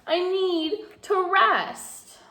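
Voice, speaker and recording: A woman voicing a gentle little girl who talks in a tired, pleading way, recorded in an echoey room. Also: extremely high pitch, drawn-out words, pitched above the performer's own voice, full character voice. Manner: pleading and plaintive.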